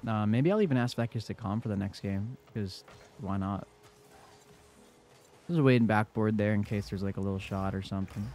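A man's voice: fairly low pitch, brisk, a little mellow, a little hiss or hum. A young man with a congested voice giving casual commentary over his own gameplay in a laid-back play-by-play. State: congested from a cold. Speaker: gaming YouTuber.

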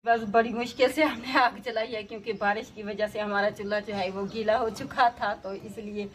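A woman's voice: medium pitch, steady tone, normal speed, fairly bright tone. A young woman from Pakistan talking viewers through her cooking in a casual vlog, explaining each step. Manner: chatty, explains each step to viewers, heavy use of filler phrases. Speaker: village life vlogger. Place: outdoor village courtyard. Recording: outdoor camera audio with clear background noise. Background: outdoor ambience with birds and animals.

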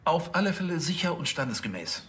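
An actor playing a self-important, condescending police boss with a halting, pompous manner in a TV crime comedy. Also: male voice, on the high side, sing-song, a bit bright, a little hiss or hum.

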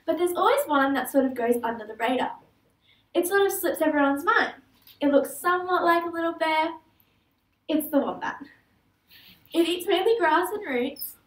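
Aussie teen girl, high voice, echoey hall, mic kinda far off. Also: expressive, normal speed, long pauses. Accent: Australian. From Australia. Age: teenager. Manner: earnest, with small restarts and slips. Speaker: student speaker. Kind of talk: prepared stage talk. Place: large auditorium stage.

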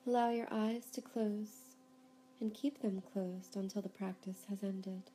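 A woman leads a guided yoga nidra session in a soft-edged, relaxed voice. She speaks calmly and soothingly, leaving unhurried pauses between instructions. A faint rumble sits behind her voice.

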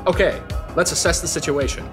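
A man's voice: on the high side, fairly quick, natural voice. An actor playing a wisecracking, fatherly sidekick who talks in folksy old-fashioned slang, in a comic audio drama. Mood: concerned, wry. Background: music.

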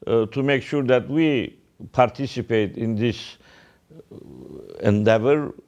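A man in a medium-pitched voice. He delivers a formal church address solemnly, in short, deliberate phrases. The recording is a little dull and muffled. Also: darkish tone. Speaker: archbishop addressing the faithful. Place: indoor office, seated at a desk.

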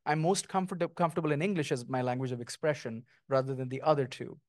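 A middle-aged man, a guest author, speaking thoughtfully and lyrically with many pauses at an onstage conversation, on a handheld mic. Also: medium pitch, no room sound, clean studio sound. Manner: thoughtful, lyrical, with frequent ums. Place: small stage before an audience, indoors.

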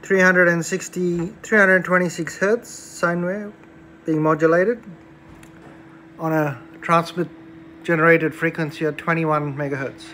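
A man's voice: a little high, fairly even intonation, a little slow, bright, forceful tone, a little hiss or hum. A man giving casual, hands-on commentary as he demonstrates electronics at an indoor workbench. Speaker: electronics hobbyist presenter. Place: small indoor room at a workbench.

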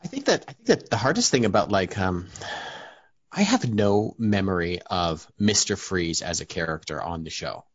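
A man's voice: deep voice, sing-song, moderate pace, husky, dull audio. A man co-hosting a podcast, chatting casually and rambling with many fillers. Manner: rambling, hesitant, lots of fillers. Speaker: podcast co-host. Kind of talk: casual chat.

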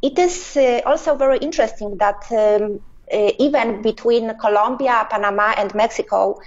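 Halting woman, dull audio. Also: a little high. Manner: halting, many fillers and restarts. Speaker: company founder, guest. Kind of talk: interview answers.

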